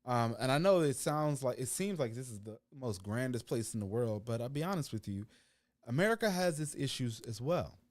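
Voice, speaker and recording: A man hosting a panel discussion, rambling and restarting his words, with loudness that swings up and down. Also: mid-range voice, expressive, moderate pace, no room sound. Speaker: host.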